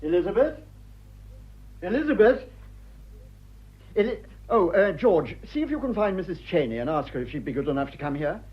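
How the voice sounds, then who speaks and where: Fussy, husky upper-class English husband, very expressive. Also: male voice, high-pitched, normal speed, pauses often, full character voice. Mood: fussy, exasperated. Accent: British upper-class. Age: 30s. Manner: pompous, exasperated, prim. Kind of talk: 1920s drawing-room comedy in radio drama. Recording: dull lo-fi old radio recording.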